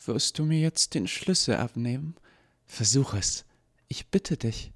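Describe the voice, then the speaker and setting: A man's voice: mid-range voice, lively intonation, measured pace, voice pushed up. A man reading an audiobook, voicing a cruel woman who taunts with amusement. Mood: taunting.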